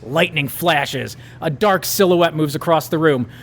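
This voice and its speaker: A man's voice: a little high, a little slow, creaky. Man reading a comic story in mock-drama tones. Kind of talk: comedic story reading at a live show.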